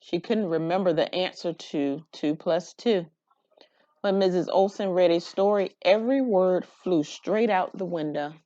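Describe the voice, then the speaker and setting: A woman reading a children's picture book aloud in an expressive storytelling style. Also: on the low side, a little slow, no room sound, dull audio.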